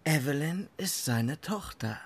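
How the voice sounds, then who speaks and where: Cocky, lively young gang member. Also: male voice, fairly high pitch, measured pace, slightly rough. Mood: smug. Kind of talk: radio crime drama acting.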